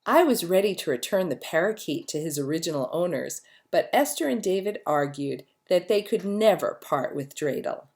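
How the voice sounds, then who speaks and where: A woman reading a children's story aloud as a narrator, with expressive storytelling delivery. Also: on the low side, moderate pace, few pauses. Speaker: storybook reader.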